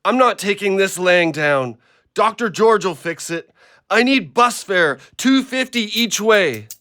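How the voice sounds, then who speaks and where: A man playing a troubled, gruff injured ex-logger in an audio play, muttering to himself and his dog. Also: sits high, animated, a little slow, sharp tone, no room sound, studio quality, exaggerated voice. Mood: fond, determined. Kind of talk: audio drama acting.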